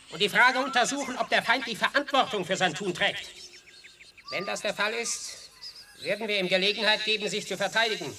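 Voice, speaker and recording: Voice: mid-range voice, lightly acted. An actor playing a stern animal prosecutor in a radio play, declaiming solemnly and accusingly. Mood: solemn, grave. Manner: declamatory, solemn courtroom oratory. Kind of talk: German radio play acting. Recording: noisy vintage radio play recording.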